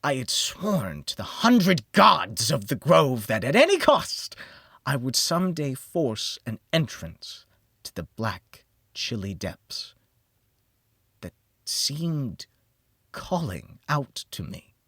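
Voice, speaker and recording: A man narrating a gothic horror audiobook in a brooding, theatrical manner, with deliberate phrasing that drops to a hush. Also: medium pitch, sing-song, husky, no room sound, clean studio sound. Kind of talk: dramatic gothic horror storytelling, scripted narration.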